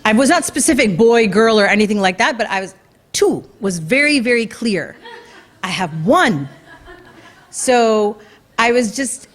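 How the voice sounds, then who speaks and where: A woman's voice: on the high side, expressive, measured pace. A woman giving an earnest, conversational talk about her own experience at a community event, with fillers and restarts. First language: English. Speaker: speaker at a community talk night. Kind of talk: personal story talk. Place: event venue before an audience.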